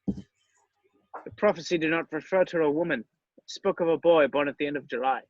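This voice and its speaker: A man acts out a grave old wizard headmaster at a script reading. He is shrewd and measured, with a somewhat high male voice. The sound is close and dry, slightly muffled. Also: no echo, close to an everyday voice. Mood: grave, questioning. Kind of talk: screenplay table read acting.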